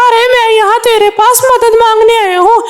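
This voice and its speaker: A woman's voice: very high voice, fairly even intonation, moderate pace. A woman voicing a homeless male cartoon parrot, polite, earnest and plaintive in a children's story. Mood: pleading. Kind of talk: Hindi children's cartoon dialogue.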